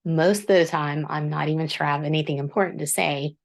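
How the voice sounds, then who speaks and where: A woman author hosting her book channel, speaking in a low voice in an earnest, self-deprecating, measured monologue. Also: fairly even intonation, vocal fry. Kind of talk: reflective scripted monologue.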